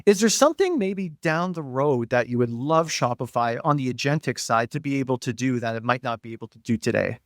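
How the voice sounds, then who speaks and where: A man's voice: mid-range voice, lively intonation, brisk, few pauses, dry recording, clean studio sound. A man moderating a panel, explaining things conversationally and addressing the audience directly. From Toronto, Canada.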